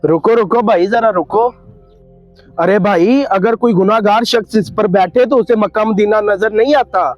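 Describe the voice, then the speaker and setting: A sly street trader in a cartoon tale, acted by a man. His voice sits high and he pitches his wares persuasively. The sound is dull and very dry, with faint music. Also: moderate pace, no room sound, close to an everyday voice. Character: sly male donkey seller hawking his wares. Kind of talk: cartoon story dialogue.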